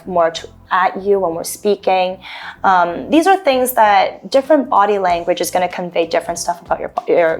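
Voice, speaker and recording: A woman from Toronto, an actor chatting loosely as a guest, giving advice with many ums and restarts. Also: lowish voice. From Toronto, Canada. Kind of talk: casual interview advice.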